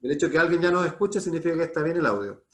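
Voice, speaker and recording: A man's voice: on the high side, lively intonation, rapid-fire, a bit bright. A Chilean man casually hosting a live online talk, chatty and given to repeating short phrases. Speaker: host of an online talk series.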